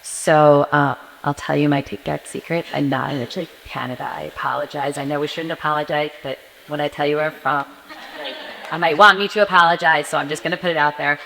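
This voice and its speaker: A woman from near New York City speaks earnestly as a panelist and circles back to repeat her key phrases. Her voice sits low. The sound carries the long reverb of a conference hall. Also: steady tone, a little fast, gravelly, fairly bright tone, a little hiss or hum. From northeastern United States, near New York City. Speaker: forum panelist. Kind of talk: personal testimony at a panel.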